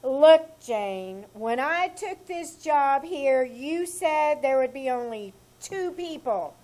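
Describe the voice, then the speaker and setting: A woman playing a stubborn, sassy housemaid in a live reenactment of an old-time radio comedy, with a deadpan delivery. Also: high voice, slow, low-quality recording. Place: small theater stage.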